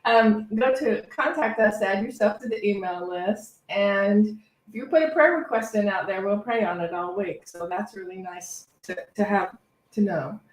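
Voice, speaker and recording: A woman's voice: medium pitch, moderate pace. A woman reverend hosting a service with informal, self-deprecating announcements, picked up in a roomy indoor space. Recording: echoey room, distant mic.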